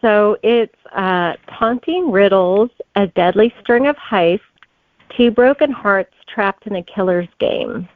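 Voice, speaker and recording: A woman's voice: mid-range voice, takes it slowly, phone-quality sound. A woman answering conversationally as a guest author in an interview.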